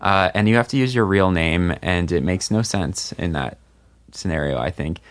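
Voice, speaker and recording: A man's voice: sits low, moderate pace. A man hosting a podcast, chatting loosely with many fillers. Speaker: podcast host. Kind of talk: casual chat.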